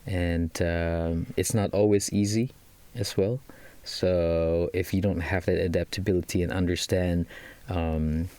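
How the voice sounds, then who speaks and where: Low-voiced Malaysian man, halting. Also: steady tone, warm tone, clear, resonant tone, no room sound. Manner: halting, many fillers and restarts. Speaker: guest, business executive. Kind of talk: casual interview talk.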